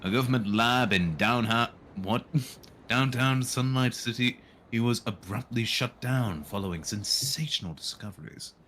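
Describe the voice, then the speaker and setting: A man's voice: medium pitch, unhurried, bright, forceful tone, slight background noise. A man streaming a visual novel, reading it aloud and dropping in dry, irreverent asides. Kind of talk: live read-aloud of a game with casual joking asides.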